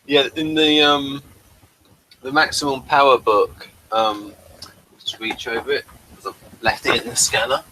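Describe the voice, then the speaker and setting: A man's voice: medium pitch, flat delivery, unhurried, sharp tone, slight background noise, poor audio. A man hosting a casual review chat, explaining things and giving his opinions. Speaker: podcast host reviewing classic TV episodes.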